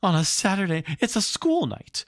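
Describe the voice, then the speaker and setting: Man putting on a prim, higher-pitched motherly girl. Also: big pitch swings, moderate pace, dry recording, clean studio sound. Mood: scandalized. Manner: tart, simpering. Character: young motherly girl, prim, fussy, gently scolding.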